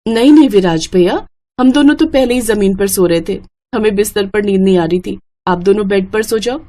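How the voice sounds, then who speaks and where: A woman voicing a timid, gentle young bride in a family story, anxious and accommodating. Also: mid-range voice, brisk, close to an everyday voice.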